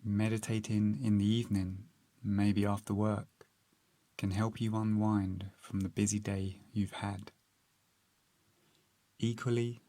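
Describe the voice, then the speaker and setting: Male meditation guide: calm, gentle, very slow and flat in pitch, long pauses. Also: dry recording.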